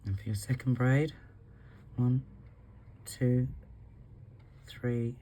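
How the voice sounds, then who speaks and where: A man with a husky voice from a recent flu, calmly talking through a beading tutorial step by step while he works. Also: medium pitch, very slow, slight background noise. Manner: calm, counts beads aloud, talks while working. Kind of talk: step-by-step craft instruction.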